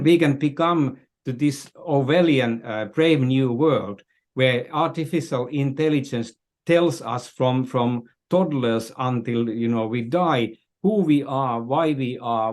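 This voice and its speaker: A man's voice: mid-range voice, measured pace, darkish tone. An older man with a Finnish accent speaking as an interview guest, explaining things conversationally and often searching for words and repeating phrases. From Finland. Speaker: interview guest, business strategist.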